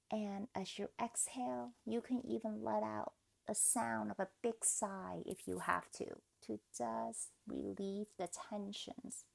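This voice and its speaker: A woman leading a guided meditation in a gentle, soothing, deliberate manner, leaving pauses between phrases. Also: mid-range voice, vocal fry. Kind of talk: guided meditation, scripted narration.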